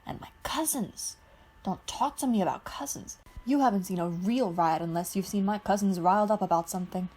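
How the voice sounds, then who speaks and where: A woman's voice: mid-range voice, brisk, dark tone, breathy edge, lightly acted. A woman voicing a joking, boastful young male pilot in a storybook reading.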